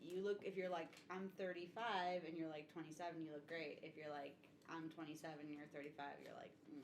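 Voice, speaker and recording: A woman with a slightly low voice gives her opinions in loose, informal podcast conversation. She sounds wry and conversational. The recording is echoey, with faint noise in the background. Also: flat delivery, fairly quick. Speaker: podcast panelist. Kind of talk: casual opinionated chat.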